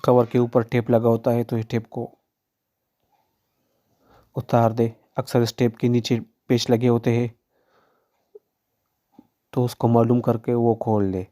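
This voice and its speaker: A man's voice: mid-range voice, little pitch movement, a little slow, pauses often, mellow. A man narrating step-by-step repair instructions in a plain, instructional way as a tutorial presenter.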